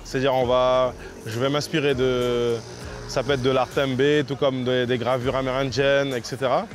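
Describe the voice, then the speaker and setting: A man from French Guiana speaking casually as an interviewed graffiti artist, in a halting way with repeated words. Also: medium pitch, level tone. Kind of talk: casual spoken interview about own work. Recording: loud music under the voice.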